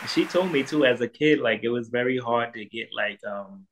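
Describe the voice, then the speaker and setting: A man tells stories as a guest in a casual chat. His voice sits at an ordinary middle pitch. He is lively and often laughs through what he says. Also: moderate pace, noisy background. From Harlem, New York. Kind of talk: casual storytelling.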